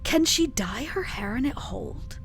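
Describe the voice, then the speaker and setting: A woman's voice: sits high, expressive, measured pace, slight background noise. A woman playing a tabletop game, casually describing her character in a musing, piece-by-piece way.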